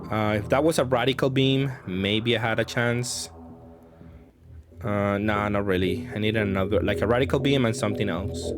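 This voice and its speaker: A man's voice: on the low side, normal speed, music in the background. A man giving casual gameplay commentary, hesitantly thinking aloud as he plays. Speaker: card game player commentating on own matches.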